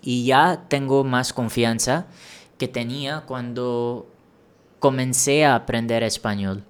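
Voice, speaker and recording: Guy from india doing a solo learning podcast, talks real slow, smooth clear voice, encouraging. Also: medium pitch, steady tone. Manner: slow, measured, encouraging explanations with small restarts. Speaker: language-learning podcast host. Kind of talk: solo podcast monologue giving advice.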